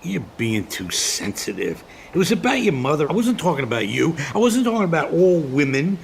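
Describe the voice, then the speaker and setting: Middle-aged American comic dad, audio play. Gravelly, swooping delivery, stubborn, aggrieved, always joking. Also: male voice, a little high, very animated, fairly quick. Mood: hurt, defensive. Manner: needling, defensive, theatrically aggrieved. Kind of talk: comedic audio drama acting. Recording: faint background hiss.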